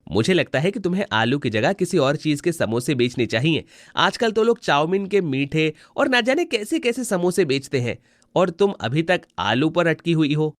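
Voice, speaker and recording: A man's voice: a little high, sing-song, normal speed, no room sound, clean studio sound. A man voicing a friendly, helpful village customer who gives neighbourly advice in storybook dialogue. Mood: friendly, advising. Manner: advising, neighbourly.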